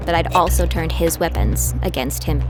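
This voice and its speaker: Low-voiced actress as a determined young trainee, music behind. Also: female voice, moderate pace, natural voice. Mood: reflective narration. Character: determined young female trainee recounting her combat training. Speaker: narrator and lead character of an audio drama. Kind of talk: scripted first-person story narration with acted dialogue. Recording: studio voice over prominent music.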